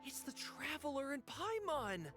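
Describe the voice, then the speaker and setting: A man voicing an earnest, friendly young man in an anime game, explaining things in a measured way. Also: extremely high pitch, sing-song, over music, full character voice. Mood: delighted.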